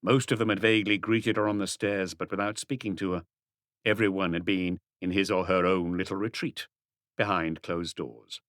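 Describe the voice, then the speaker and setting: A man's voice: low-pitched, fairly even intonation, normal speed, fairly bright tone, dry recording, studio quality. A man reading scripted mystery narration as an audiobook narrator.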